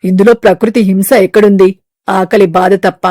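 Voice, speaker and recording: A woman's voice: medium pitch, little pitch movement, a little fast, dark tone, no room sound, studio quality, close to an everyday voice. A woman voicing a stern bossy eagle chief. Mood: dismissive. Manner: gruff, blunt, domineering. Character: stern male eagle trader, fruit market boss. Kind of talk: animated storybook voice acting.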